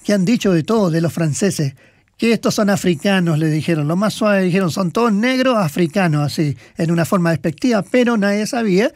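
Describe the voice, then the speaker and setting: A man's voice: high voice, animated, fairly quick, darkish tone, dry recording, clean studio sound. A man co-hosting a casual sports talk segment, rambling through match results with many fillers and hesitations. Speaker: sports commentator co-host. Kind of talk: casual radio sports chat.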